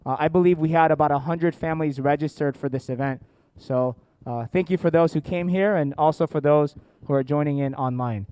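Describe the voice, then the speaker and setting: Clear-voiced American man hosting a seminar. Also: high-pitched, darkish tone, dry recording. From born in South Korea, grew up in Atlanta, United States. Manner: jokey, engaging the audience with questions. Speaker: seminar host, company founder and educator. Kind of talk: informal seminar presentation to an audience. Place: indoor seminar room with families and online viewers.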